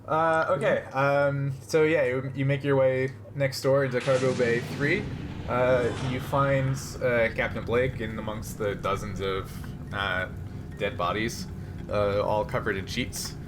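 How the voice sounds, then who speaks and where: A man casually narrating a tabletop game as game master, in a halting, hesitant manner. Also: medium pitch, level tone, background noise.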